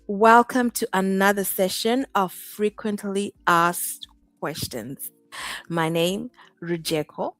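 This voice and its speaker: A woman's voice: medium pitch, slow, a bit bright, no room sound, soft background music. A woman from Zimbabwe, hosting a casual live-stream chat in a bubbly, exclamatory way. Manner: bubbly, exclaiming, laughs often. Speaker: host.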